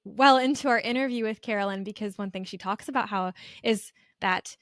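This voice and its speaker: A woman's voice: medium pitch, brisk, no echo, studio quality. A woman co-hosting a podcast in friendly, informal conversation. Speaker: podcast co-host.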